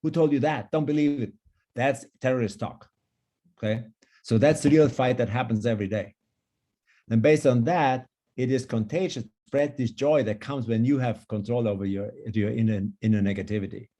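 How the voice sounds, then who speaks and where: Sex: man. Native language: German. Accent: German. Role: longevity coach on a video call. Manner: emphatic, upbeat. Timbre: warm, dark. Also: mid-range voice, normal speed. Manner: enthusiastic, emphatic. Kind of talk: online panel discussion.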